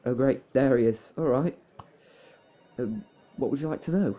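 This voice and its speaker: A man's voice: mid-range voice, normal speed, dark tone, close to an everyday voice. A man playing a long-suffering, exasperated but fond young husband in a fan audio drama, flustered and grudging.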